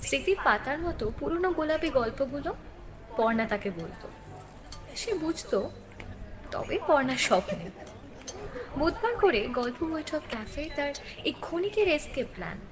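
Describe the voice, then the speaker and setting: A woman's voice: high voice, sing-song, rapid-fire, long pauses. A woman with an Indian Bengali accent, narrating a scripted radio play. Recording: clear ambient noise behind.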